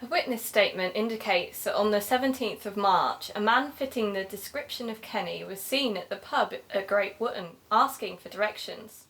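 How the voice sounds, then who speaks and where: A woman playing a keen, sociable amateur investigator and podcaster in an audio drama, talking in a measured, conversational way. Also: mid-range voice, fairly bright tone, close to an everyday voice. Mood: thoughtful.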